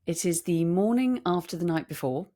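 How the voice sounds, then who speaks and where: A British woman with a distinctly low voice co-hosts a podcast. She chats casually and conversationally, with a self-deprecating touch. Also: lively intonation, normal speed, background noise. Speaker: podcast co-host. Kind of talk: casual chat.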